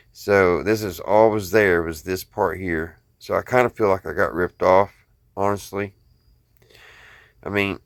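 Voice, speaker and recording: A man's voice: sits low, a bit bright, no echo, studio quality. An American man talking casually to viewers in a folksy, rambling show-and-tell, thinking aloud as he goes. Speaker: hobbyist video host.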